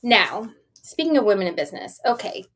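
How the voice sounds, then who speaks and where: A woman in her forties with a middle-pitched voice chats as a podcast co-host. She tells business news like a casual story, tossing in rhetorical questions and emphatic asides. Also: sing-song, a little fast, fairly bright tone. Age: 40s.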